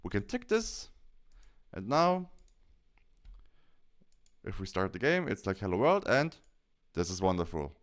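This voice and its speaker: A man narrates a software tutorial in a casual, step-by-step manner. His voice is clean and smooth, and his pitch moves around a good deal as he explains. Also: big pitch swings, measured pace, long pauses. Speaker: tutorial presenter.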